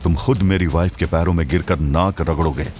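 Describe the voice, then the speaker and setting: Man acting a deep, coldly menacing young husband. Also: steady tone, natural voice. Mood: cold menace. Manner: quiet, measured threats. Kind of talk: Hindi audio drama acting.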